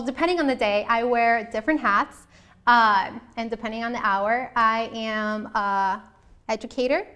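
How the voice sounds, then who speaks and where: A woman's voice: on the high side, fairly bright tone, clean tone. A young woman from the US Midwest, born in Colombia, hosting a show and talking informally and earnestly to viewers about herself, often rephrasing as she goes. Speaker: show host.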